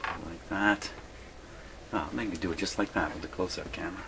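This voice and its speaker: A man with a low-pitched voice talks casually through hands-on work in a home workshop. He rambles and muses to himself as he goes, with clear noise behind him on the recording. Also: fairly even intonation, moderate pace. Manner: rambling, musing aloud to himself. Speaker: host of a radio repair video. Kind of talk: casual hands-on workbench narration.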